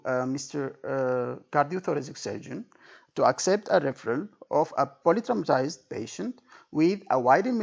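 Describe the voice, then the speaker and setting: A man teaching as an exam tutor in an informal spoken lecture, with a creaky voice, repeating points and often checking that the listener follows. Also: a little high. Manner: didactic, repetitive, checking understanding with frequent okay and right. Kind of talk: informal spoken lecture with role-played example phrases. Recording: dull lo-fi recording.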